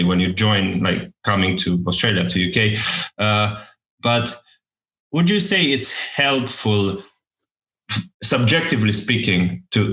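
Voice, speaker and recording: A man's voice: lowish voice, fairly bright tone. A man interviewing a guest on a podcast, asking long questions hesitantly and rephrasing them with many fillers. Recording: echoey room.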